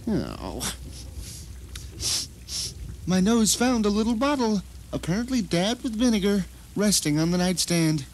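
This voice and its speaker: A man's voice: high-pitched, a little hiss or hum. An actor playing a bitter, deluded blind man who tells his story in a theatrical, self-righteous audio drama monologue. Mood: tense, sly storytelling.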